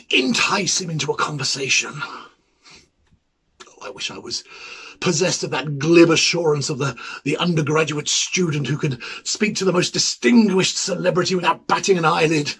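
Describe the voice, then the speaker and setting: A man playing an ailing, lonely, fussy bachelor academic in a dramatic ghost-story monologue, musing hesitantly and theatrically in a husky voice. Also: on the high side, moderate pace, a bit bright, exaggerated voice. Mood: wistful curiosity. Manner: hesitant, musing, theatrical, with murmured asides and swelling emphasis.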